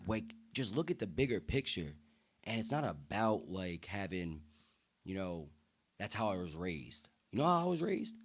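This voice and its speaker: A deep-voiced young man from the United States speaking earnestly and emphatically in casual, slang-heavy conversation as a podcast guest. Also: sing-song, moderate pace, a little hiss or hum.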